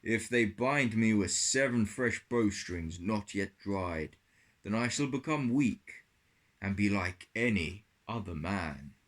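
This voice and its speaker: A man voicing a boastful strongman in a children's Bible puppet story, with measured, declamatory delivery. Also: lowish voice, animated, fairly bright tone. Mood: sly, measured.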